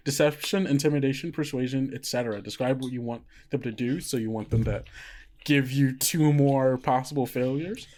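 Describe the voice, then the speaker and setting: A game master leading a tabletop roleplaying game, narrating and reading rules in a relaxed, hesitant way with many fillers. The voice sits at a middling pitch. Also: moderate pace.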